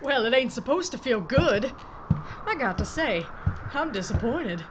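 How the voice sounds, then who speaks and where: An actress playing a blunt, folksy Old West sheriff with an in an audio drama. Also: female voice, on the high side, sing-song, a little slow. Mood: commanding, scornful. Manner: plain-spoken, hard, folksy. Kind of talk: Western audio drama acting. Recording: muffled lo-fi with clear noise.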